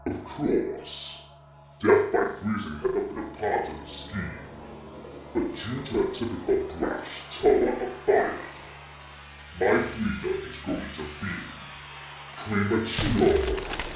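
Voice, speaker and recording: A man playing a cold, scheming villain who gloats with slow, deliberate menace in an amateur fan dub. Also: fairly low pitch. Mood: grave scheming. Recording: heavily echoing, distant voice under music.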